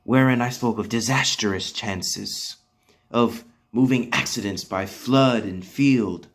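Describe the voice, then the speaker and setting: A man performing a proud, earnest soldier pleading his case in a measured, declamatory Shakespearean stage monologue with dramatic pauses. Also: medium pitch, voice pushed up. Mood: proud, storytelling.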